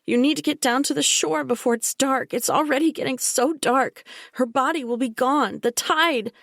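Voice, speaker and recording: A distraught young woman pleads and insists in a raised, strained voice, voiced by a woman reading the story. Her voice sits above a reader's usual low register. The recording is close and dry. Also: animated, a little slow, dry recording. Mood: pleading.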